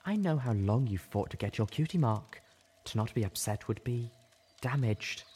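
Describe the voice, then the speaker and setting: A man voicing a caring female pony healer who speaks softly and reasons firmly. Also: high voice, very animated, normal speed, husky, pitched above the performer's own voice, full character voice. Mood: gentle, firm. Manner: soft, reasoning, sometimes stern.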